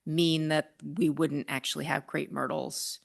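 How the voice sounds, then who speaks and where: A woman with a low, gravelly voice. She asks polite, direct questions as a commissioner at a public meeting. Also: fairly even intonation, unhurried.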